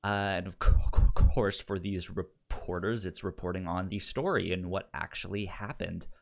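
A man's voice: low voice, moderate pace, creaky. A man co-hosting a podcast in casual recap chat, often stammering and repeating the starts of words. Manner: acts out quotes in playful raised voices. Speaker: podcast co-host.

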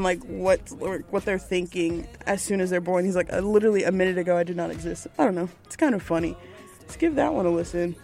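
A woman's voice: mid-range voice, a little mellow. Young woman DJ, bubbly podcast co-host, faint music bed. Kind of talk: casual chat.

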